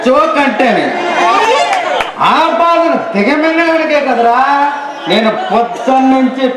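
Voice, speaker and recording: A man's voice: very high-pitched, animated, unhurried. A man playing a boastful, wheedling comic drunkard on stage, with slurred declamatory speech. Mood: indignant, boastful. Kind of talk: Telugu comic stage drama acting. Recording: echoey hall stage, noisy crowd.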